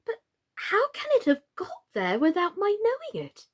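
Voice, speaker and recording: A woman voicing a polite, eager, excitable young girl in a storybook, with a wide-eyed, expressive delivery. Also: extremely high pitch, normal speed, pitched above the performer's own voice, full character voice. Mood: astonished.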